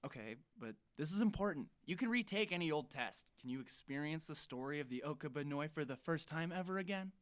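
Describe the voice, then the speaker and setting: A man's voice: on the high side, expressive, brisk, hardly any pauses, lightly acted. An actor playing an eager, teasing teenage boy with a dramatic, wry way of talking in an audio drama. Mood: playful pleading.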